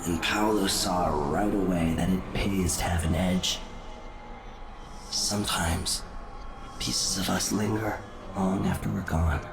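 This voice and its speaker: An actor playing a cryptic, detached supernatural trickster god in a video game cutscene, speaking in a measured, sardonic, musing way. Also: male voice, low-pitched, fairly even intonation, vocal fry, noisy background, heavily acted. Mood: aloof musing. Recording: echoing voice under loud music. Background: loud game music.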